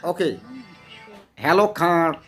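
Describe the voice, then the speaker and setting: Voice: mid-range voice, lively intonation, slow pace, a bit bright, faint music behind. A host with a Bangladeshi accent chatting casually in simple English, often repeating phrases and questions. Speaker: host practicing English with strangers.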